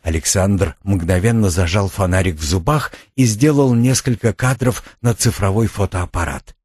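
Low, husky male audiobook narrator. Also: normal speed, darkish tone, dry recording. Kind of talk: scripted narration of a suspense thriller.

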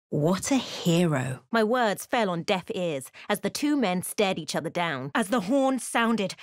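A woman voicing an observant adventuress in a fantasy video game, with measured, literate, dramatic delivery and wry asides. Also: mid-range voice, dry recording, studio quality, lightly acted. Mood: dry, wry. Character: observant young adventuress, a fantasy game companion recounting her journey. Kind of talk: video game voice lines, dramatic narration and remarks.

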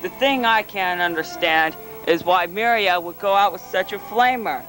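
A man doing a blunt, gossipy terrorist boss in a cartoon redub. His voice is high for a man, with faint music under it. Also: normal speed, fairly bright tone, low-quality recording. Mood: gossiping. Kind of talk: fan parody redub of a cartoon.

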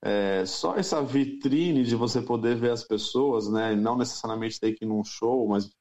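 A man from Brazil, a music teacher and producer, talking through his plans in a relaxed, thoughtful interview. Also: mid-range voice. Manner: thoughtful, unhurried, many fillers. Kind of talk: casual interview talk.

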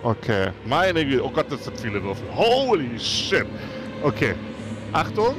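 A man's voice: mid-range voice, wildly swooping pitch, unhurried. A man playing a tabletop roleplay game on a video call, talking casually and hesitantly, often repeating words and muttering oaths. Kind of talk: casual in-character roleplay chat. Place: home room on video call. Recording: music under the voice.

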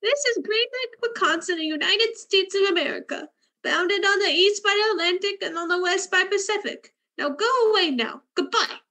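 A woman playing a suspicious old housewife in a radio drama. She is high-voiced, flustered and indignant, stumbling over her words. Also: expressive, moderate pace, sharp tone, full character voice. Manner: hesitant, stammering, indignant. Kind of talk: radio play acting.

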